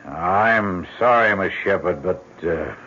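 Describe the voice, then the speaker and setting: A husky, weary police lieutenant. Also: male voice, sits low, sharp tone, exaggerated voice. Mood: dismissive, weary. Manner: blunt, skeptical, hesitant pauses. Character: weary, matter-of-fact police lieutenant questioning a witness. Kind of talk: 1970s-style radio drama acting. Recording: dull lo-fi old radio broadcast.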